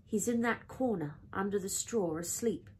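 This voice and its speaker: A woman voicing a weary, dying female spider in a children's storybook, composed, matter-of-fact and gently firm. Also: medium pitch, normal speed, slight background noise, natural voice, rough recording. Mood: sensible, calm.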